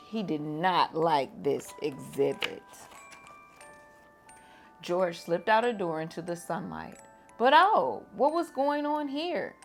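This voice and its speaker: Slow, gentle storytime woman. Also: lowish voice. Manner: gentle, expressive, lively on exclamations. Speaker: storytime reader. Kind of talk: children's read-aloud.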